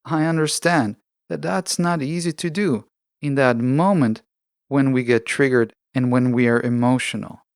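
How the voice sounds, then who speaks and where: A man hosting a solo self-help podcast in a prepared monologue, explaining things in a measured, deliberate and earnest way. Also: mid-range voice, dry recording, studio quality. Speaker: podcast host.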